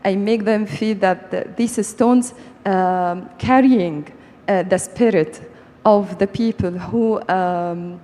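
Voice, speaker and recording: A woman content creator speaking haltingly as a panelist about her work, on stage in a large hall. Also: mid-range voice, warm tone. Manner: halting, hesitant delivery. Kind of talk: panel talk. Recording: reverberant hall, stage sound.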